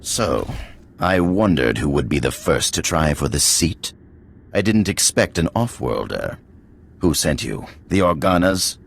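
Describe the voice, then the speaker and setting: An actor playing an arrogant, boastful, defiant usurper in a video game. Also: male voice, a bass voice, sing-song, a little slow, creaky, a little hiss or hum, exaggerated voice. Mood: haughty, scornful. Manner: haughty, boastful. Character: arrogant, defiant middle-aged male usurper of a throne.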